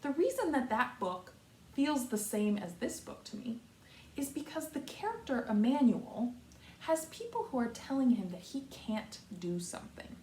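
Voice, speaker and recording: An American woman, a kindergarten teacher, giving a read-aloud lesson to young children in simple, deliberate phrases with repetition. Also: a little high, sing-song, darkish tone. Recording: roomy echo, distant mic, faint noise.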